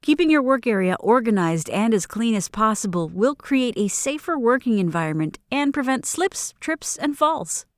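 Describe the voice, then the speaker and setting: A woman with a slightly high voice narrates instructional training material. Her delivery is formal and measured with careful enunciation, recorded close and very dry in a studio. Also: very animated, no room sound. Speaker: narrator of workplace safety training. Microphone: close studio microphone in a booth.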